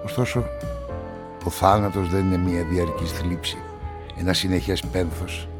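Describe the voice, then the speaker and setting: An actor playing a guarded amateur sleuth, telling a crime story in measured, reflective radio-play narration and dialogue. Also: male voice, low-pitched, steady tone, music in the background, lightly acted.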